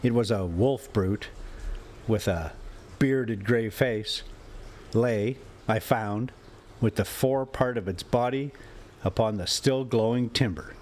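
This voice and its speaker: Slow, dramatic male story reader. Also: medium pitch, darkish tone. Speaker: host reading a classic story aloud while driving. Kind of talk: dramatic audiobook-style narration of an adventure story. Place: inside a moving motorhome.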